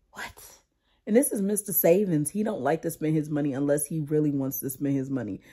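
A woman in her thirties who is sick and losing her voice, chatting casually to her vlog audience about her kids in an animated, talky way. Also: deep voice, normal speed, breathy edge. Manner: chatty, animated storytelling with "like" and "y'all". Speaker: vlogger, mother. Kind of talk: casual chat to camera.

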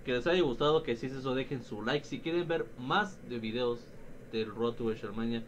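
A man's voice: medium pitch, moderate pace, highs cut off, a little hiss or hum. A young man with a Mexican accent giving casual, slangy, profane commentary on a video game. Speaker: gaming YouTuber.

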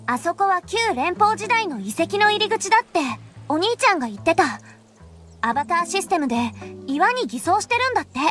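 A woman voicing a chatty, eager teenage girl in a Japanese anime game, lively and friendly. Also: very high-pitched, a little fast, soft background music, full character voice.

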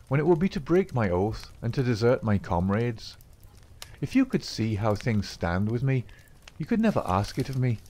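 A man reading aloud, voicing a smooth-talking Irishman in a secret society who reassures his sweetheart. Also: medium pitch. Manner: coaxing, reassuring, soft-spoken.

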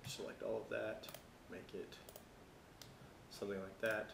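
A man's voice: lowish voice, level tone, a little slow, creaky. Casual American man in a roomy, noisy recording. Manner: informal, thinking aloud while working. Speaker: tutorial presenter. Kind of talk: casual software tutorial narration.

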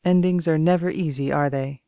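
A woman's voice: sits low, steady tone, a little fast, dark tone, no echo. A woman as a composed, wry author, close and dry. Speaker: audiobook reader. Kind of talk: audiobook narration and character dialogue.

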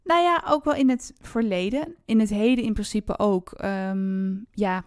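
A woman's voice: medium pitch, a little slow, clean tone, dry recording. Woman chatting casually, close dry sound. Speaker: book podcast host. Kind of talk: casual book discussion.

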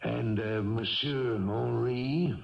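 An actor playing a self-assured police lieutenant with casual, slangy authority in a 1970s radio drama. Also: male voice, medium pitch, takes it slowly, gravelly. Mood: wry, lenient. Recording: dull, distant 1970s radio recording.

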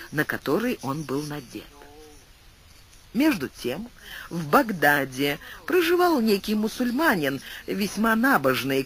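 A man reading a classic tale aloud as an audiobook narrator, in expressive scripted storytelling. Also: fairly high pitch.

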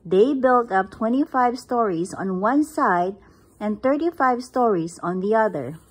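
A woman's voice: on the high side, expressive, unhurried, slightly rough. A woman reading a picture book aloud at home, recorded on a phone camera above the book. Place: indoors, at home.

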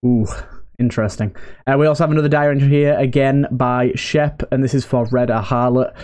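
A man's voice: medium pitch, steady tone, brisk, a little mellow. A man giving casual let's-play commentary as a host, thinking aloud and repeating himself. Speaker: gaming video host.

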